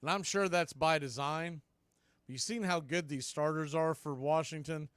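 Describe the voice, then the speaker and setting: Higher-pitched male baseball play-by-play announcer. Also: fairly even intonation, normal speed, fairly bright tone, smooth, dry recording, studio quality. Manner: conversational, partisan asides between clipped pitch calls. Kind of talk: live sports commentary.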